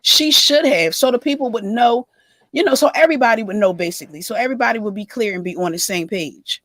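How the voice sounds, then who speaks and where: Hoarse woman losing her voice. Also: on the high side, a bit bright, no echo. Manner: measured, explaining at length. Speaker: livestream co-host. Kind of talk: casual gossip chat.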